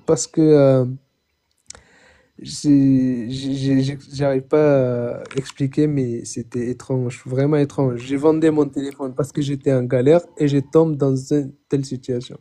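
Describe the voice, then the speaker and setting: A young man from Chad calling in to tell his own story, halting, repeating words and often swearing it's true. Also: mid-range voice, little pitch movement, warm tone. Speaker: caller. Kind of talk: phoned-in personal testimony.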